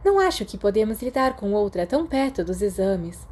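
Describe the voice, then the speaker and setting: A woman's voice: mid-range voice, very animated, brisk, a little mellow, slightly rough. A woman with a Brazilian accent voicing a sensible, sceptical teenage schoolgirl in a storybook reading.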